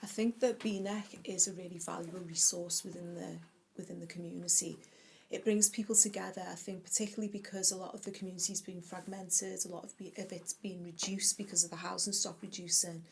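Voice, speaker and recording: A woman speaks in a measured, earnest interview manner. Her voice sits low and nearly flat in pitch, with a gravelly fry underneath. A faint hum of room ambience runs behind her. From Liverpool, England. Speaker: community wellbeing officer. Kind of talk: interview answers to camera.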